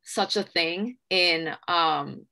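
A woman's voice: on the low side, extremely slow, vocal fry, bright, forceful tone. A young woman from Hong Kong hosting an artist talk on a video call, speaking in a hesitant, self-deprecating way. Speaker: moderator. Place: small room at home.

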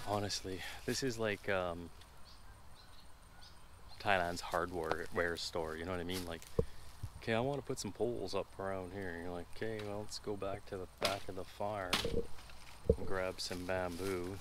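A man's voice: low-pitched, fairly even intonation, normal speed, long pauses, heavy background noise. A man casually vlogging from a rural farm, chatty and rambling, with lots of fillers. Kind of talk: casual handheld vlog narration.

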